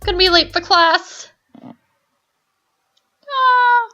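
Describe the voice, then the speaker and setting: A woman's voice: extremely high pitch, extremely slow, sharp tone, highs cut off, faint music behind. A woman hosting a let's play, reading game narration aloud and adding chatty, giggly commentary. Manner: chatty, giggly, reads narration then riffs.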